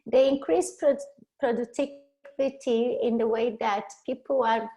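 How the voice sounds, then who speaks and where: A woman from Brazil with a Brazilian Portuguese accent, a coach giving a webinar presentation over a video call. She talks haltingly and often restarts words to correct herself. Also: fairly high pitch, fairly even intonation, mellow, poor audio.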